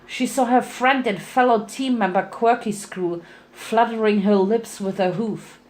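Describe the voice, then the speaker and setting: A woman's voice: on the high side, unhurried. A woman narrating a story as a dramatic reading, now and then stumbling and rereading a phrase. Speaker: fan fiction reader.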